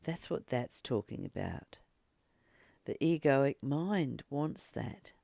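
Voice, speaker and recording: A woman giving a spiritual teaching, reading and commenting informally in an earnest, deliberate way, with frequent pauses and emphatic repetition. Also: extremely low pitch, lively intonation, creaky, warm tone, no room sound. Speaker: spiritual teacher and channel host.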